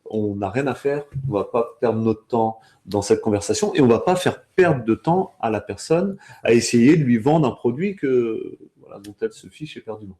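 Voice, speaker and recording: A man with a dark, relaxed voice of average pitch speaks as a sales trainer. He lays things out step by step in conversation, often halting mid-phrase to find another wording.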